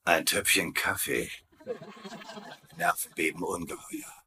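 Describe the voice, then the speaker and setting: Low-voiced, sly, scheming prisoner ringleader. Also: male voice, slow pace, bright, forceful tone, exaggerated voice. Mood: glib, bluffing. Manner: sly, mocking, glib. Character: cheeky, smooth-talking wartime prisoner officer, scheming ringleader. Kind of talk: German comedy dub acting.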